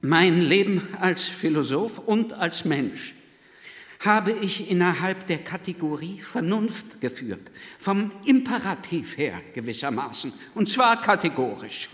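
A man's voice: sits high, sing-song, unhurried, a bit bright, heavily acted. A male actor playing a pedantic, pompous old Prussian philosopher in a radio play, declaiming in clipped, self-important phrases. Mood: pompous, self-satisfied. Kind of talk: theatrical radio play acting. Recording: echoey hall, long reverb.

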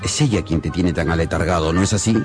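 A man playing a stern senior officer of the musketeers, very low in pitch. He is knowing and reproving, in a radio drama with music behind. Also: quick pace, natural voice.

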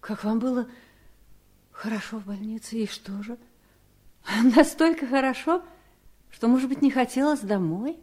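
A controlling, scheming wife in a 1970s radio drama. Her voice sits fairly high, and she speaks with measured politeness edged with irony. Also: lively intonation, darkish tone. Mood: cool irony. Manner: measured, ironic, pointed politeness. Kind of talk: 1970s Soviet radio play acting.

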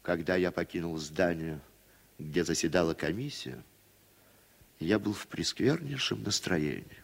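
An actor playing a troubled American writer and radio commentator who narrates his story with measured, expressive delivery in a radio drama. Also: low voice, vocal fry, a little hiss or hum. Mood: brooding, puzzled. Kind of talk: Soviet radio drama acting, dramatic first-person narration. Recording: 1960s Soviet radio recording with music.

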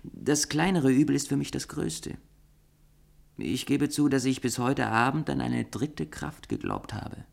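A man's voice: mid-range voice, measured pace, creaky. An actor in a German radio play playing an earnest, disillusioned intellectual who argues his case stubbornly. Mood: resigned.

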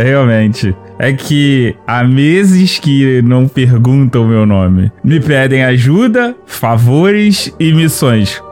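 Man as a slow-spoken humble young adventurer. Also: medium pitch, a little mellow. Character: humble young male adventurer warrior, easygoing and courteous. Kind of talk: fantasy audio drama acting.

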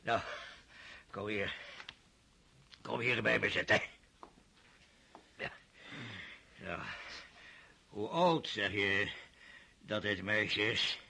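An actor playing a stern, mocking older aristocratic father in a radio drama, with a husky voice and scornful laughs. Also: on the low side, very slow, pauses often, bright, forceful tone, exaggerated voice.

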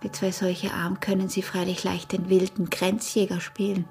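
An actress with an Austrian accent playing a smuggler's wary, teasing peasant wife in a radio drama, her voice breathy and husky. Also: mid-range voice, level tone, normal speed, a little mellow, slight background noise, lightly acted. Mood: teasing, flirtatious. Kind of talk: intimate radio drama acting in dialect.

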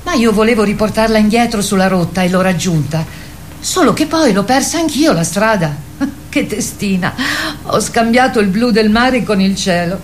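An actress playing a grown female wild goose in a radio drama, calm, reassuring and dreamy. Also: mid-range voice, moderate pace, a little hiss or hum, close to an everyday voice. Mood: calm, explaining.

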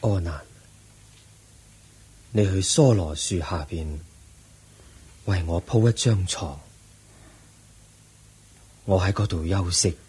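An actor playing the aged Buddha in a radio drama, a serene and patient teacher speaking solemn Hong Kong Cantonese in a husky voice. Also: male voice, low-pitched, a little mellow, heavily acted. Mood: calm, solemn. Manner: solemn, measured teaching delivery.

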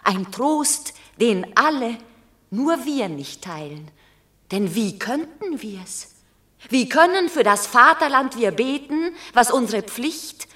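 A woman's voice: a little high, pushed and bright, exaggerated voice. An actress playing a noble Roman mother in a classical verse radio drama, grave, formal and imploring but unyielding. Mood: sorrowful reproach.

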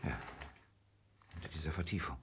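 An actor playing a reserved, solitary European gentleman in a radio drama, moving between raised commands and hushed murmurs. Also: very deep voice, fairly even intonation, moderate pace, heavy background noise. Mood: tense, suspicious.

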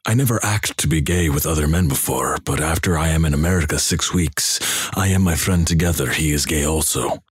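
A man's voice: rumbling low, husky, dry recording, studio quality. A man voicing an anxious, guilt-ridden young male foreign student who speaks broken English with a fake Russian accent. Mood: earnest. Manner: broken halting English, earnest deadpan delivery.

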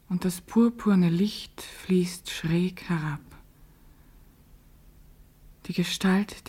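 A woman playing a grieving, dreamy woman in a literary radio play, speaking a solemn, lyrical monologue in a breathy, hushed voice. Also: on the low side. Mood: hushed mourning. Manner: solemn, measured, lyrical delivery. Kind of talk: poetic interior monologue, German radio drama.

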